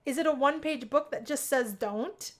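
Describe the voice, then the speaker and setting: A woman's voice: sits high, moderate pace, close to an everyday voice. A laid-back young college guy who jokes and teases, as voiced by a woman. He is a visual novel character with an easygoing, wisecracking manner. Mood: teasing. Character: Max, joking laid-back young male college roommate, teasing.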